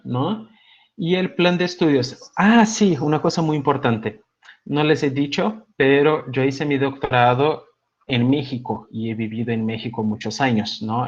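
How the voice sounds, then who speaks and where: A middle-aged man, non-native in Spanish, with a Mexico City accent tinged by Buenos Aires Spanish, teaching a seminar in a relaxed, conversational way full of hesitations and tag questions, over a video call. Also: medium pitch. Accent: Mexico City Spanish with some Buenos Aires Argentine. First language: not Spanish. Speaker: seminar instructor. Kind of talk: live online lecture. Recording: slightly dull lo-fi video call audio.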